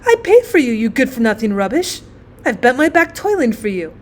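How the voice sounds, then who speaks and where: A woman's voice: on the high side, very animated, a little fast, darkish tone. A woman voicing an overwrought, self-pitying mother who scolds and laments in a storybook reading. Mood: bitter, lamenting. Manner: lamenting, melodramatic.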